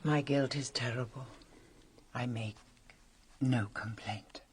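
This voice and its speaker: A woman playing a grief-stricken, guilt-ridden royal mother in a solemn radio drama, speaking in a measured, mournful manner. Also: a bass voice, steady tone, slight background noise. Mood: subdued, penitent.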